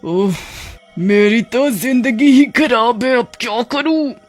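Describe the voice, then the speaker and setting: A man voicing a greedy, ill-tempered female witch in an animated horror story, husky and exclaiming. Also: very high voice, soft background music. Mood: dismayed. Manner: drawn-out, exclaiming, gloating. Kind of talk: Hindi animated horror-comedy story acting.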